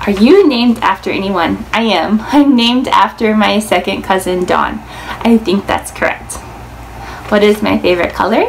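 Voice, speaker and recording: A woman's voice: medium pitch, normal speed, poor audio. An American woman in her twenties chatting informally to camera as a vlogger, saying "like" often. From Indiana, United States. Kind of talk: casual chat to camera. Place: small room indoors, plain wall. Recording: clearly audible background room noise.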